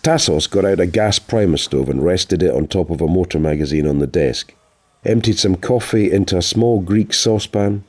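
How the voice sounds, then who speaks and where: A man narrates a novel for an audiobook. His voice sits very low and has a husky, roughened grain, delivering scripted prose as a storyteller. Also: normal speed, darkish tone.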